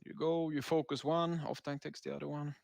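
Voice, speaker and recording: Man, gravelly fry in the voice, laid-back raid narrator, dry close recording, explanatory. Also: a little high, little pitch movement, brisk, a little mellow, no room sound. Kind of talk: casual gameplay commentary.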